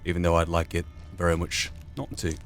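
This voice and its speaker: A man's voice: low voice, monotone, a little fast. A man giving reflective solo commentary on a roleplay playthrough, posing philosophical questions to himself as he goes. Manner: musing, philosophical, poses rhetorical questions. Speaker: gamer narrating a roleplay playthrough. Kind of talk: reflective solo game commentary, musing in and out of character. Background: video game music.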